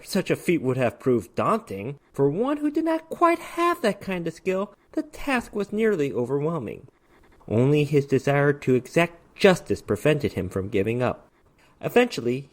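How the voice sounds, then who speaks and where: A man reading a short story aloud as a dramatic narration, in a formal, theatrical storytelling manner. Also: high-pitched. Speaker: story reader.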